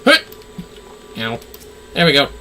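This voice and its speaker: A man's voice: medium pitch, huge pitch swings, slow pace, bright, forceful tone, soft background music. A man doing casual livestream game commentary, talking to himself as he plays and reading game text aloud. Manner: talks to self while playing. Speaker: livestream gamer, VTuber.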